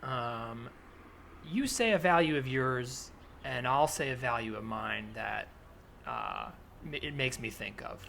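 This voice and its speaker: A man's voice: mid-range voice, lively intonation, takes it slowly, fairly bright tone, exaggerated voice. A man playing an earnest, provocative right-wing militia member and self-styled historian, in a hesitant, probing, conversational improvised comedy scene. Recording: clearly noisy, added ambient soundscape. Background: ambient sound effects.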